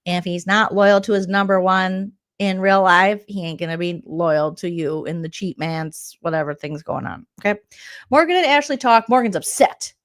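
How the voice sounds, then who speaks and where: Woman comedian, snarky recap host, mocks the cast with quick impressions. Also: fairly low pitch. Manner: mocking, jumps into exaggerated character voices. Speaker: comedian recapping a reality show.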